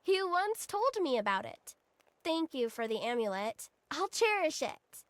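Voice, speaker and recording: A sweet little girl in a fantasy game, earnest and caring. The voice is very high and lilting, recorded dry and close. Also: a little slow, no room sound. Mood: tender, determined. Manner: earnest, tender, expressive. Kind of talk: video game cutscene acting.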